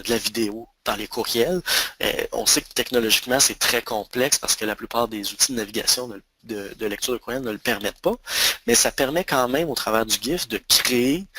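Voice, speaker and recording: A man in his thirties with a Quebec French accent, giving a webinar on email marketing and often pausing on fillers and restarts. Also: medium pitch, pushed and bright, no echo, low-quality recording. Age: 30s. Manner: hesitant, many fillers and restarts. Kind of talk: webinar presentation.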